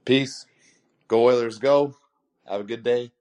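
A man's voice: mid-range voice, fairly even intonation, a little slow, darkish tone. A man leaving a casual voicemail for a podcast, hesitant and full of fillers. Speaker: voicemail caller.

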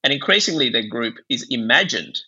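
Guy from bangladesh, psychiatrist being interviewed, sharp forceful voice kinda husky, thinks out loud and explains stuff. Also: medium pitch, animated, brisk, highs cut off. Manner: discursive, explanatory, intellectual. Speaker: psychiatrist and author, interview guest. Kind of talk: thoughtful interview discussion.

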